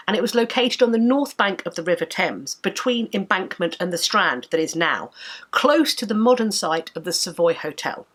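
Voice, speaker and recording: A woman in her thirties with a blocked nose and sore throat, giving a measured, articulate history lecture to camera from her home study. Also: medium pitch, a bit bright. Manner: measured, articulate, enthusiastic explainer. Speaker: history educator and channel host. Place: home study with bookshelves, indoors.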